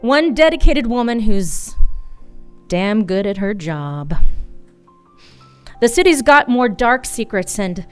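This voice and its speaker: A woman's voice: fairly high pitch, big pitch swings, takes it slowly, long pauses, smooth, faint music behind. A woman playing a dedicated, hard-boiled private detective in a noir radio play, wry and resolute as she narrates. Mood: wry, reflective.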